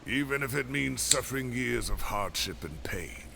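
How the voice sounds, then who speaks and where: Stubborn old scholar, gravelly, worn, lively pitch, proud and wistful, video game character. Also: male voice, moderate pace, noisy background. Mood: weary, wistful. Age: older.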